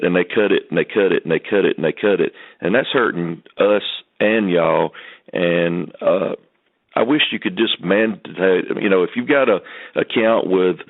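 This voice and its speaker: A man's voice: deep voice, creaky. A man speaking as a truck driver calling in with questions, rambling but earnest and polite. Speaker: truck driver calling in to a company conference call. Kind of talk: unscripted questions on a conference call. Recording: muffled telephone conference line.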